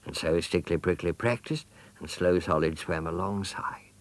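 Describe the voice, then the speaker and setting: A man's voice: low voice, normal speed. A man narrating a children's storybook as an audiobook reader.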